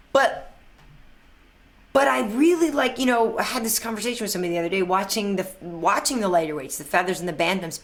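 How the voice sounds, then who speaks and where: A woman in her thirties with a somewhat low voice, hosting a live chat with her fans. She answers their questions off the cuff, eagerly, and often restarts her sentences and repeats words as she goes. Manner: chatty, enthusiastic, many restarts and repeated words; answers viewers' questions on the fly. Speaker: host answering fan questions.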